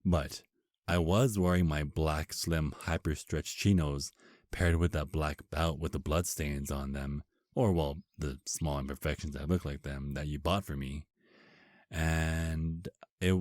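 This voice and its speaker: Very deep, slow, flirty vampire husband played by a man. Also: a little mellow, no room sound. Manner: tender, flirty, slow and murmured. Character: affectionate, teasing male vampire husband. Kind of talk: intimate ASMR romance roleplay.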